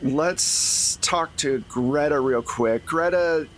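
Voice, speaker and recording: A man's voice: fairly high pitch, slow pace, slight background noise, rough recording. A man speaking as game master, narrating a tabletop game in a casual way.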